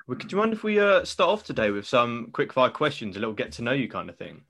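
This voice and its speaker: A man's voice: mid-range voice, sing-song, fast, vocal fry, fairly bright tone. A British man hosting a podcast interview, with a playful, teasing way of talking. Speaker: podcast host. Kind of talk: casual interview chat.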